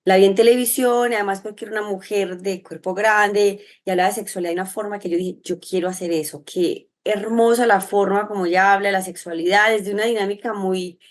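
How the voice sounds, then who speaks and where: Colombian woman from medellín chatting as an interview guest, expressive and kinda rambling, middle pitch. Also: fairly quick, few pauses. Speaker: interview guest, sexologist and psychologist. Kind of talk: conversational interview answers.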